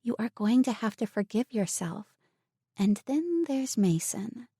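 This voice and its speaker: A woman voicing a kind, level-headed young woman who talks in an earnest, gentle way. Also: on the high side, animated, measured pace, breathy edge, no room sound, clean studio sound, voice pushed up, exaggerated voice.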